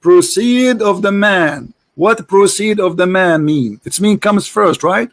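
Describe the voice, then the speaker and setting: A man's voice: sits high, slow pace. A man, pressing his opponent with repeated, insistent questions in a heated online debate.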